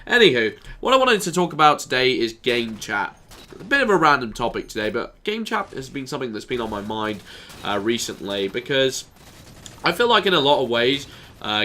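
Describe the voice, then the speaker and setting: A man's voice: mid-range voice, pushed and bright. A man with a nasal voice, stuffy from allergies, giving a rambling, self-deprecating commentary over his gameplay. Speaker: gaming YouTuber.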